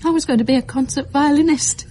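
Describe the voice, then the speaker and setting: An actress playing a fragile but determined young mother in distress, speaking haltingly and emotionally in a radio drama. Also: high voice, fairly even intonation, mellow, a little hiss or hum, lightly acted. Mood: sad halting. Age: young adult. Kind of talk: naturalistic radio drama acting.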